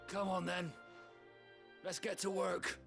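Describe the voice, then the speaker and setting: A man's voice: high voice, level tone, slow, creaky, a bit bright, heavily acted. A man voicing a simple freed village labourer in a game, plain-spoken and heartfelt. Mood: rallying. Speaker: video game character. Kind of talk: dramatic game voice acting. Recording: game music behind. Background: game music.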